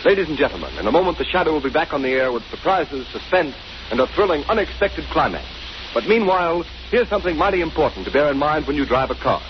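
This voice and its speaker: A man's voice: fairly high pitch, big pitch swings, fast talker. An American man announcing in 1930s radio advertising style, with an emphatic, polished sales delivery. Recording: muffled, noisy 1930s radio recording.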